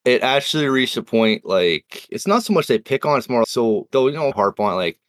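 A man's voice: mid-range voice, big pitch swings, normal speed, dry recording, clean studio sound. A man from Nova Scotia with a Canadian accent, chatting casually and swearing freely as a cast member of a game podcast. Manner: laid-back, swears freely, tangents. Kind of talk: casual chat.

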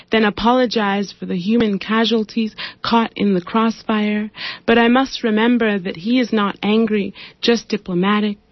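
A young adult woman poet from Nigeria, talking in a radio interview with thoughtful pauses and fillers. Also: on the high side, dry recording. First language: Igbo. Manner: raised, pointed delivery when reading poetry. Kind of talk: radio interview, then poetry reading. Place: radio studio. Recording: muffled dry radio studio sound.

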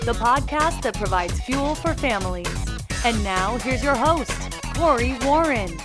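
A woman voicing a recorded podcast intro in a bright announcer delivery. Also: a little high, expressive, measured pace. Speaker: show intro voice. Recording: intro over loud music.

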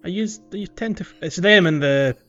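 A man's voice: high-pitched, normal speed, fairly bright tone, faint music behind. Muffled-sounding man chatting casually. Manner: chuckles often while talking. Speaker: podcast co-host. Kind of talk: casual chat, video game playthrough commentary.

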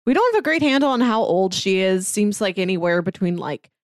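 A woman speaks as a podcast co-host in a relaxed, conversational review. Her voice sits at a medium pitch and is recorded very dry, with hardly any room around it. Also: fairly quick, dry recording. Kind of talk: casual review discussion.

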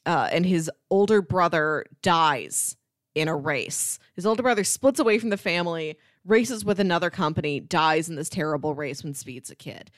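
A woman's voice: low-pitched, normal speed, no room sound, studio quality. A woman co-hosting a podcast, casually discussing and summarizing a film. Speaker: podcast co-host.

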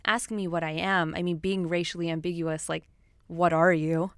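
A woman who grew up speaking Swahili talks at length in a casual film discussion, outspoken and full of fillers. Her voice sits on the low side. The sound is dry and close. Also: flat delivery, moderate pace, clean tone, dry recording.

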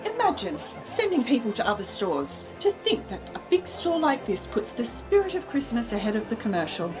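A woman playing an excitable, talkative lady shopper in an old-time radio play. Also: on the high side, moderate pace, over music. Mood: gushing, grateful.

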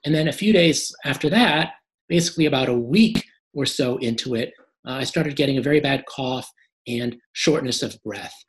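A hoarse man who gets short of breath, answering interview questions with many "uh" fillers. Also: mid-range voice, normal speed, rough recording. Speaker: guest.

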